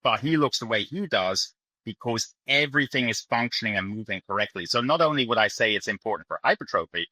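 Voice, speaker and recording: Podcast guest, male, mixed accent with Irish influence; low-pitched but very bright, forceful delivery, earnest and emphatic. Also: lively intonation, brisk, dry recording, low-quality recording. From Ireland. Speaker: podcast guest, bodybuilding coach. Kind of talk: conversational interview explanation.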